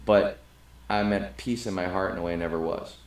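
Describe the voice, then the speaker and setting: A man's voice: low-pitched, fairly even intonation, a little fast. A middle-aged man co-hosting a podcast, talking earnestly in a rambling way and often restarting his sentences. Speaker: podcast co-host. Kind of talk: casual conversation. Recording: roomy, echoey sound.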